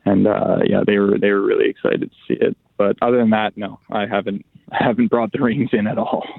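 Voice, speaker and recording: A young man talks over a dry, tinny phone line. His voice is low and gravelly, and his pitch stays flat. He answers in a relaxed, halting way, often restarting his sentences. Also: dry recording. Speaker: pro football player, guest. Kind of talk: phone interview.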